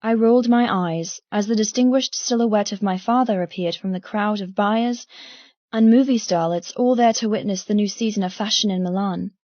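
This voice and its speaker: A woman's voice: mid-range voice, normal speed, a little mellow, dry recording, muffled recording. A woman reading first-person dramatic fiction as an audiobook narrator.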